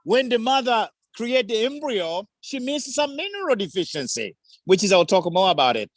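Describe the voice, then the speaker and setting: A man's voice: high voice, very animated, normal speed, no room sound, clean studio sound. A man from Tanzania with a Tanzanian accent, speaking effusively at a community forum in broken English. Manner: effusive, warm praise, broken English phrasing. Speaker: guest speaker. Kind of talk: enthusiastic community forum remarks.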